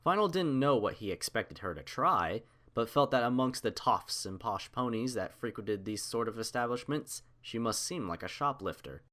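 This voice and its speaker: A man's voice: medium pitch, expressive, normal speed, fairly bright tone. A man reading scripted fiction aloud as the narrator of a story.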